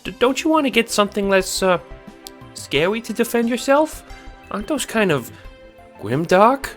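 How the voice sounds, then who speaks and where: A timid cat sprite from an audio drama, speaks in a high, lifted voice. He is eager to help but anxious, tripping over his words, with faint music underneath. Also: very animated, moderate pace, fairly bright tone. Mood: nervous. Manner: nervous, hesitant, stammering.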